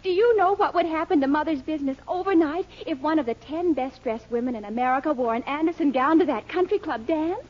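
A woman's voice: high voice, quick pace, hardly any pauses, natural voice. An actress playing an eager, scheming young sweetheart in a 1940s radio comedy, gushing and persuasive in a girlish way. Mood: excited, persuasive. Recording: muffled 1940s radio broadcast.

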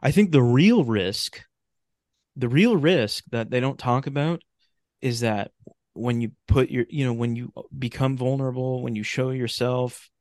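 A man in a casual podcast conversation, talking in a halting, rambling way and often restarting his phrases. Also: medium pitch, a little mellow, no echo, studio quality.

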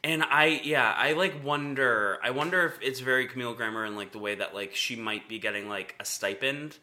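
A man co-hosting a casual podcast recap of a reality TV show, chatty and full of "like," with a naturally high voice and frequent laughs. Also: brisk, sharp tone. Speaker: podcast co-host.

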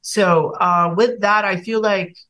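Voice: medium pitch, fairly even intonation, slow pace, bright, forceful tone, low-quality recording. Hesitant young singer, Bulgarian accent. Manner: hesitant, many fillers and restarts. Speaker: singer guest. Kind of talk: casual podcast chat.

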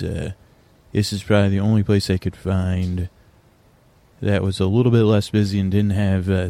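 A man hosting a sleep podcast, talking in a meandering, halting way full of restarts and fillers, recorded on location in a quieter corner of an airport. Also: fairly low pitch. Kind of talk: rambling bedtime podcast talk.

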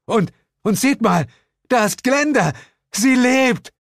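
A man's voice: very high-pitched, expressive, slow, no room sound, clean studio sound, pitched above the performer's own voice, heavily acted. A man voicing a boastful, whiny ghost hunter in a comic audiobook, stammering and melodramatic. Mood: exultant. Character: hapless, boastful male ghost hunter, mock-heroic and whiny.

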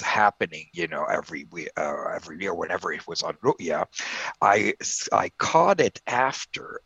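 A man's voice: lowish voice, creaky, sharp tone, slightly rough, dry recording, dull audio. A middle-aged man from Lebanon, a professor talking reflectively in an interview, with frequent fillers and restarts. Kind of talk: conversational interview.

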